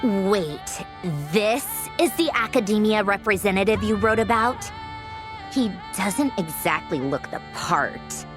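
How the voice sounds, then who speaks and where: A sarcastic, theatrical woman from a video game, voiced by an actress, takes her time over her words. Her voice is on the high side and swoops widely, over background music. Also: expressive, a little slow, lightly acted. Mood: skeptical, surprised.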